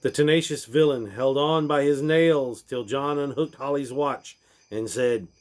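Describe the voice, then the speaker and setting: A man's voice: mid-range voice, a little slow. A man reading a rhyming storybook aloud in a theatrical, emphatic manner, swelling and then dropping away at the ends of lines. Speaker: reader of a parody Christmas storybook.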